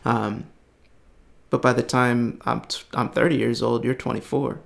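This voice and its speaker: A man's voice: mid-range voice, little pitch movement, a little slow, vocal fry, fairly bright tone. A man in his thirties chatting casually as a podcast co-host, reflective and rambling, with many fillers. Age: 30s. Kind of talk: casual conversation.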